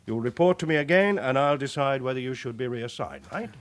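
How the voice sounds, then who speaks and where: Stern, lively British police chief, played by a man. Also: medium pitch, a little fast, lightly acted. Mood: brisk, commanding. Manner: authoritative, clipped, orderly delivery. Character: stern, brisk male police chief inspector, dryly commanding. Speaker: actor in a radio drama. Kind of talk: British radio drama acting.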